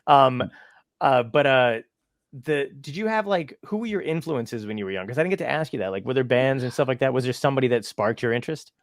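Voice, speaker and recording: A man hosting a casual podcast chat, his words coming quickly and with a gravelly, fry-edged tone. He sounds enthusiastic and rambling. The recording is dry and close, with no room around his voice. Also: mid-range voice, lively intonation, no echo. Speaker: podcast host.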